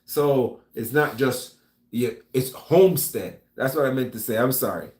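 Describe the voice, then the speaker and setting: A man with a Jamaican accent chats loosely with his viewers, often starting over and calling them "man." His voice sits at a middle pitch. The sound has a roomy echo. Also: a little slow. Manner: relaxed, stumbling restarts, calls people man. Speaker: vlog host reading live chat. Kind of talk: casual live-stream chat. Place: room at home with gun rack.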